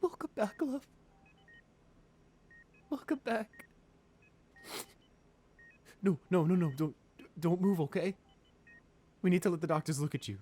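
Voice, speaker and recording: A man's voice: sits high, sing-song, mellow, exaggerated voice. Man acting an anguished, devoted ruthless lover. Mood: relieved, tender. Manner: pleading, intimate, halting and emotional. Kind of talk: romantic ASMR roleplay audio drama.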